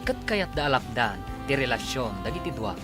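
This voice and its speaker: A man announcing and narrating a radio drama in a drawn-out, formal broadcast style. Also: mid-range voice, very animated. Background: music.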